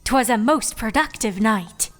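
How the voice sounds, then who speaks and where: A woman's voice: on the high side, animated, measured pace, long reverb tail, soft background music. A woman voicing a regal pony princess of the night who speaks in a formal, old-fashioned way. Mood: formal, proud. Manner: archaic, stately formal phrasing.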